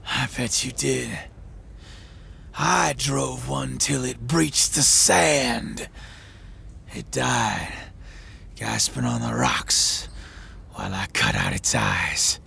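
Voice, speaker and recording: An actor playing a bitter one-legged monster hunter who boasts loudly and drops into hoarse whispers. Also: male voice, mid-range voice, big pitch swings, drawn-out words, pauses often, heavily acted. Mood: bragging. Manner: blustering, shouting boasts then dropping to hoarse whispers. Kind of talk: audio drama acting.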